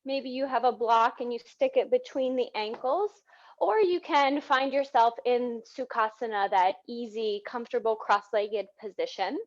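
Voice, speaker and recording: A woman teaching yoga, guiding breathing in a soothing, gentle manner with unhurried phrasing. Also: a little high. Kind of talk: guided yoga breathing instruction. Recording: dull, muffled lo-fi sound.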